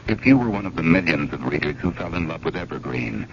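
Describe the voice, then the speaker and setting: A man's voice: very deep voice, expressive, quick pace, gravelly, a little hiss or hum. A man announcing a book in a dramatic, scripted radio commercial. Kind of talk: dramatic book advertisement narration. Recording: dull radio ad with loud music.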